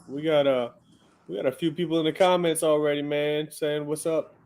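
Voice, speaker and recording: A man's voice: a little high, fairly even intonation, moderate pace, darkish tone, smooth. Easygoing slangy podcast host in his thirties. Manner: easygoing, slangy, frequent "uh" fillers. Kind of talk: casual interview chat.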